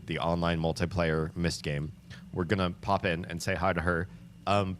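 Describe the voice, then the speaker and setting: A man with a low voice hosts a livestream, talking with viewers in a chatty, upbeat way. He rambles and restarts his sentences, with many ums and likes. A faint hiss sits underneath. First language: English. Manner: rambling, with frequent fillers and restarts. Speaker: livestream host. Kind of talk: casual chat with viewers.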